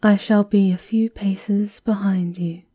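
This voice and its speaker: Very soft, dark-voiced castle guard, played by a woman. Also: medium pitch, steady tone. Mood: dutiful, wary. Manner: hushed, formal, measured. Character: stern, dutiful female castle guard, reserved and watchful. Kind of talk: ASMR roleplay.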